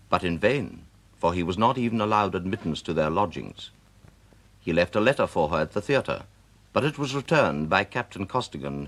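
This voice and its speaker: A man with an English accent giving measured, scripted literary narration for a period radio drama. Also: low voice, a bit bright. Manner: measured storytelling.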